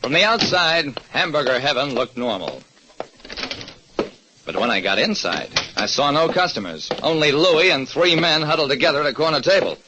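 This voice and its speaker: A man's voice: on the high side, expressive, normal speed, background noise, lightly acted. An actor playing a wry, hard-boiled private detective who narrates his case tersely in an old radio drama. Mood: wry, dramatic.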